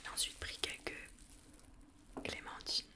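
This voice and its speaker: Chatty woman in a whisper. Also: measured pace. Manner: chatty, self-mocking, lots of fillers. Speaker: ASMR vlogger. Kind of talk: whispered ASMR grocery haul.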